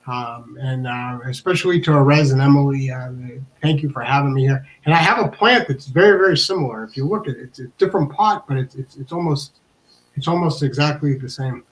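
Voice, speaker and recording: A man telling a personal story informally, in a halting way with repeated words. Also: medium pitch, low-quality recording.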